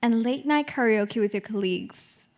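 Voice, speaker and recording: A young adult woman student giving a spoken word style piece, slow and deliberate. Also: on the high side, darkish tone. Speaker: student speaker.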